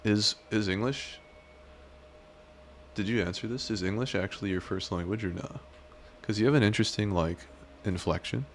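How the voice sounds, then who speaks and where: Male streamer, very low voice, rambling self-mocking banter, faint noise under it. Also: normal speed. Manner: rambling, joking, self-deprecating. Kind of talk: casual live-stream chat.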